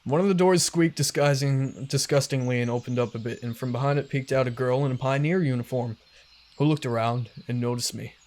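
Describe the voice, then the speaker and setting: A man reading as a brooding young male narrator, deepening his voice and speaking in a low, gloomy way. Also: darkish tone, close to an everyday voice. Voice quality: deliberately deepened voice. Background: music.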